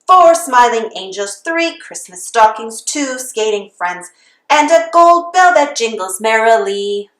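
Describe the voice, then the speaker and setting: A woman with a high voice delivers a children's read-aloud in the manner of a Christmas carol. She takes it slowly and steadily. The room adds a clear echo around her voice. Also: expressive, a bit bright. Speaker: storybook reader.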